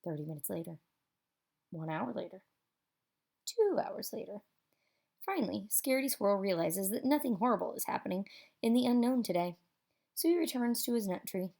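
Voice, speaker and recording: A woman with a warm, rounded voice of average pitch reads a picture book for children. Her delivery is expressive and theatrical. She leans on key words and leaves dramatic pauses. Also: creaky.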